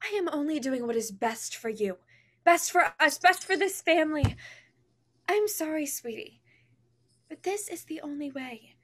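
A woman's voice: sits high, expressive, unhurried. A young actress playing a controlling, bitter mother, insistent and commanding, in a staged reading over video call. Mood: cold, firm. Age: young adult. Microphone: video call at desk distance.

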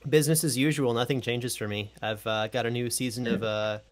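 A man in his thirties with an average-pitched voice talks casually on a video call. He sounds like a guest telling people about his projects. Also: fairly even intonation, fairly quick. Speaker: audio drama podcast producer, guest. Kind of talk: casual promotional chat.

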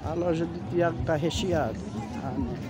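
A man's voice: on the high side, moderate pace. A man filming a walking vlog through an outdoor street market, greeting vendors and pointing things out in a friendly, churchy way with a Northeastern Brazilian accent. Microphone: handheld phone camera. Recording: busy market noise with loud music. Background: loud crowd chatter and music.

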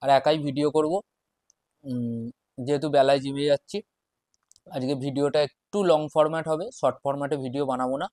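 A man in his twenties with a West Bengal Bengali accent, chatting casually to camera in short, halting phrases as a fitness vlogger. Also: medium pitch, steady tone, darkish tone, no room sound, clean studio sound. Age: 20s. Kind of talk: casual vlog chat to camera. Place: small bedroom at home, indoors. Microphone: wireless lapel mic.

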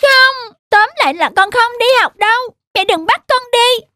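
A woman's voice: extremely high pitch, expressive, normal speed. A woman voicing a stubborn, whiny little preschool boy in a cartoon, insistent in manner. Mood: stubborn whining. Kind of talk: cartoon dubbing. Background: prominent music.

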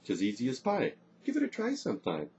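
A man's voice: mid-range voice, huge pitch swings, a little fast, a little mellow, breathy edge, muffled recording, pitched above the performer's own voice, heavily acted. A man voicing a bold, teasing young Celtic maid in archaic dialect for a storybook. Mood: frank, friendly.

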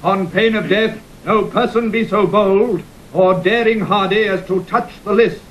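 High-voiced medieval herald-marshal. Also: male voice, a little slow, fairly bright tone. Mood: ceremonial. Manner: ceremonial, proclaiming. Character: formal medieval Lord Marshal proclaiming at lists. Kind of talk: classical Shakespearean audio drama acting. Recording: noisy 1960 audio drama recording.

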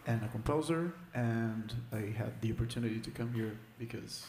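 A man introduces himself in an easygoing way into a handheld microphone during a workshop. His voice is gravelly, and the room is echoey with background noise. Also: medium pitch, normal speed, a little mellow. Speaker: participant. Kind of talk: self-introduction.

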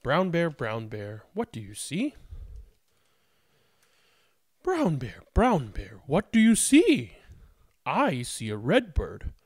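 A man in his thirties, host of a children's read-aloud, speaking in an expressive, sing-song way. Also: on the high side, slow pace, pauses often, breathy edge, no echo, clean studio sound. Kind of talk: picture-book read-aloud with casual introduction. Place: indoors, small room at home.

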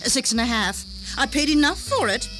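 A woman's voice: sits high, big pitch swings, moderate pace, background noise. A woman playing a prim, disapproving, domineering customer of fifty in a radio story, fussy and insistent. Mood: haughty insistence. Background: music.

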